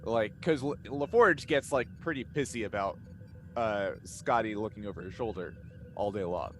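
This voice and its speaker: A man chatting casually in a group as a cast member answering fan questions, telling things in an animated, informal way. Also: fairly low pitch, normal speed, soft background music.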